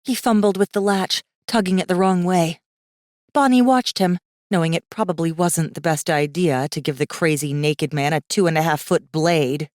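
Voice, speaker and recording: A woman narrating a fiction audiobook in an expressive, scripted storytelling style. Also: lowish voice, normal speed, no room sound, clean studio sound.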